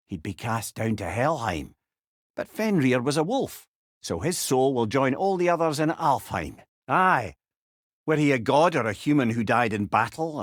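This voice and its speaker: A man's voice: medium pitch, dry recording, lightly acted. Guy doing old scottish wise companion from a video game, wry n chatty, voice goes up and down a lot, super dry sound. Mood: solemn, explaining. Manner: wry, eloquent, lilting storyteller's delivery. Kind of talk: video game character dialogue.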